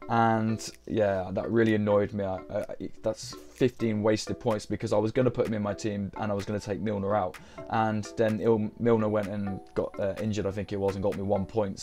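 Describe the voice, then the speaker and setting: Young man, low mellow voice, a bit croaky; casual, halting live-stream chat. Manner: hesitant, many ums and uhs. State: croaky voice from a sore throat. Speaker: fantasy football streamer.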